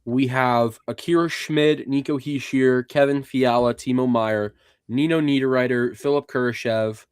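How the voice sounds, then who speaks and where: A man hosting a podcast, calmly running through rosters and steering the casual sports talk. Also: mid-range voice, normal speed, clean tone, dry recording, clean studio sound. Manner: relaxed, reads out lists and leads the segments. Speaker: podcast host.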